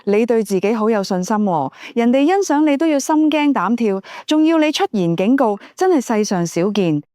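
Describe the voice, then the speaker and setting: A woman voicing a poised, seductive, scheming noble widow in an audiobook, with coy, measured, formal speech. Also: a little high, animated, warm tone, no room sound, studio quality, lightly acted. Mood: teasing.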